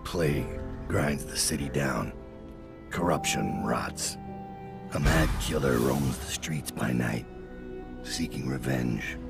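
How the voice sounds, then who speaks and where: A very deep, gravelly, grim assassin. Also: male voice, loud music behind the voice, full character voice. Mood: bleak, weary. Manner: brooding, deliberate, menacing. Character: grim, guilt-ridden middle-aged male master assassin. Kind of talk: video game voice acting, dramatic monologue.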